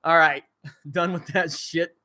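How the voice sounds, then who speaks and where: A man's voice: fairly high pitch, steady tone, slow, bright, forceful tone. A man with a crackly voice hosting a casual livestream, reading viewer comments and answering in a blunt, joking way with some swearing. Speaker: channel host.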